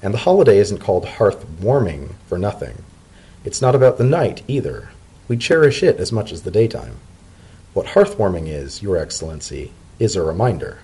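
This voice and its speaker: An earnest pony statesman voiced by a man speaks in formal, measured phrases. His low, husky voice rises and falls expressively, thoughtful and courteous, as in a dramatic storybook reading. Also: big pitch swings, dark tone, heavily acted. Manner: formal, measured, sincere speech. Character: earnest, polite male pony civil servant, thoughtful diplomat.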